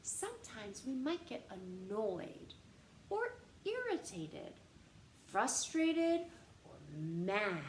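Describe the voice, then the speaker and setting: A woman reading aloud expressively for young children as a storytime host, animated and addressing them directly with questions. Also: medium pitch. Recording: roomy echo with faint background noise.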